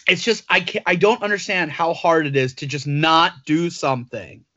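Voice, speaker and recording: A man co-hosting a podcast talks in loose, rambling banter, mocking himself as he goes. His voice is bright and a touch high, and it sounds dry and slightly dull, recorded close on a lo-fi mic. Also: normal speed, no room sound. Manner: joking, rambling, self-mocking. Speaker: podcast co-host. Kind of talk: casual banter.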